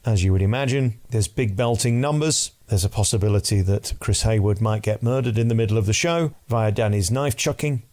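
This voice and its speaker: A man with a fairly low voice presents a scripted review. He speaks like an announcer, leaning on key words with emphasis. Deliberate pauses break up his phrases. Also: darkish tone. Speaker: entertainment reviewer and host.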